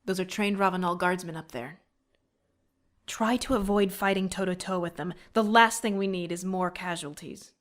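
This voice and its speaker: Actress as a scornful, sharp-tongued woman tactician. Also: lowish voice, fairly even intonation, moderate pace, natural voice. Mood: brisk, businesslike. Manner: curt, sardonic, lecturing, articulate. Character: proud, sharp-tongued female rebel military tactician, stern and scornful. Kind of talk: scripted video game character acting.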